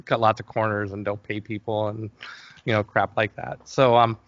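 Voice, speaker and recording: A man's voice: on the low side, little pitch movement, normal speed, dry recording, highs cut off. A middle-aged man chatting casually as an interview guest, often stopping and restarting his sentences. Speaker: interview guest, fantasy film producer.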